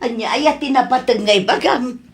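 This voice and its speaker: A woman's voice: on the high side, moderate pace, close to an everyday voice. An actress playing a doubtful, scolding mother of a grown son in a comedy radio drama.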